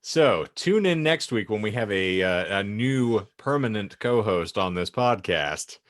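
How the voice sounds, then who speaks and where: A man's voice: lowish voice, lively intonation, unhurried, no echo, clean studio sound. A man co-hosting a casual comedy podcast, talking in wordy, mock-grandiose phrases. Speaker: podcast co-host.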